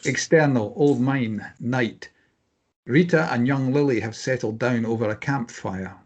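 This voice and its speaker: A man's voice: mid-range voice, unhurried, a little mellow. A man reading scripted stage directions as narrator at a table read.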